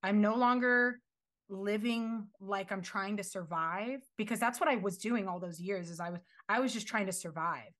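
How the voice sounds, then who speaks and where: A young woman telling her life story in a relaxed, earnest way. Her pitch sits in the middle, and she laughs now and then. She leans on casual fillers as she talks about finding peace. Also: moderate pace. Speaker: guest sharing her faith story. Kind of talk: casual faith testimony.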